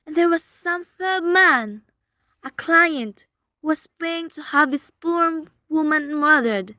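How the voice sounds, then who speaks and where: Radio suspense play: actress as haughty, panicky wealthy wife, high and sharp-voiced, demanding, easily indignant. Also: female voice, lively intonation, slow, no echo, heavily acted. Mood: insistent, flustered. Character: frantic, imperious wealthy married woman reporting a murder plot.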